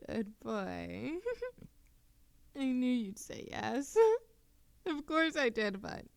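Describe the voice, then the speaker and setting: A woman playing an affectionate, playful and easily flustered young witch in love, speaking softly and haltingly in an intimate romantic audio roleplay. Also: medium pitch, gravelly, no room sound. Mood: flustered, loving. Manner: soft, halting, teasing, giggly.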